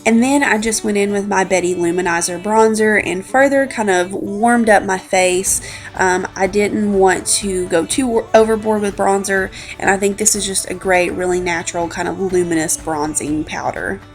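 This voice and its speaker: A woman's voice: medium pitch, fairly even intonation, normal speed. A woman in her twenties with a congested, slightly scratchy voice, chatting informally as a beauty vlogger narrating a makeup routine. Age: 20s. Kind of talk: casual makeup tutorial narration. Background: background music.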